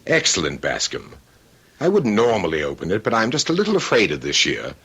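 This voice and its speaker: An actor playing a fussy, aloof, wealthy middle-aged bachelor in a radio drama, speaking with pompous precision and dry wit. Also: male voice, lowish voice, wildly swooping pitch, fairly quick, a bit bright, slightly rough, soft background music, full character voice. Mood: fastidious. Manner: pompous, precise, drily witty. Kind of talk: old-time radio drama acting.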